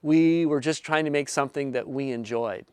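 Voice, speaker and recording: A man in his fifties, a company founder earnestly explaining his invention in a pitch video, drawing out key words as he talks. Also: normal speed, a little mellow, clean tone, no room sound. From Southern California. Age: 50s. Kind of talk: pitch video talk to camera.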